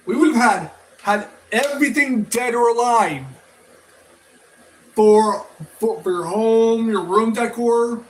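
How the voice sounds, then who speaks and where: A man with a high-pitched voice talks through his opinions in a casual review vlog style. His delivery is slow and halting, with drawn-out words. He circles back to repeat and restart phrases as he rambles. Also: slight background noise, rough recording. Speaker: toy and media review vlogger.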